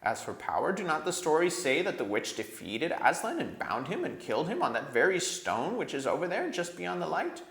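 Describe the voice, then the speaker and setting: A man's voice: on the high side, very animated, vocal fry, reverberant, voice pushed up, exaggerated voice. A man voicing a bitter, cunning male dwarf in a storybook reading, speaking with cold, distinct scorn that can flare into anger. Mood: cold insistence. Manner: slow, distinct, cold, rising to shouting.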